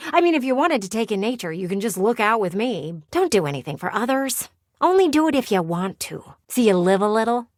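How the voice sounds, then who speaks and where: An actress voicing a playful, sardonic living window in a video game, with a teasing, theatrical and blunt delivery. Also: female voice, fairly high pitch, very animated, a little mellow, no room sound, studio quality. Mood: playful, wry. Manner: teasing, theatrical, blunt, sighs and drawn-out words. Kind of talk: video game character voice acting.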